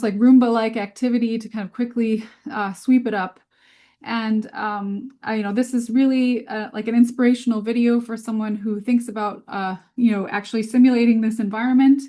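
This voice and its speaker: A woman's voice: fairly high pitch, mellow. A woman presenting a scientific webinar over a video call in an informal, conversational way, often hesitant as she thinks aloud.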